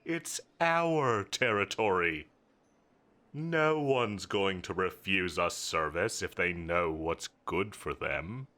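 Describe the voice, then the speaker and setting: A man's voice: low-pitched, animated, sharp tone, heavily acted. A man playing a smug, theatrical supervillain boss. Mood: menacing, amused. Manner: theatrical, mocking, drawn-out condescending delivery. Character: smug, menacing male supervillain boss toying with his enemy. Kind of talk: scripted audio roleplay.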